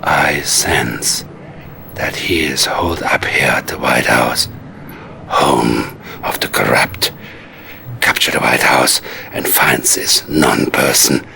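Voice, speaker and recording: An actor playing a coldly calm, scheming Soviet psychic advisor in a video game cutscene, with a Russian accent and measured, condescending menace. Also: male voice, extremely low pitch, creaky, a little hiss or hum, heavily acted. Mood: solemn, cold.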